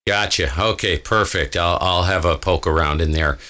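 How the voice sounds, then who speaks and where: A man's voice: low-pitched, normal speed, bright, forceful tone. An American man, an amateur radio operator, chatting casually with an easygoing, colloquial manner. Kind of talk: casual chat over ham radio.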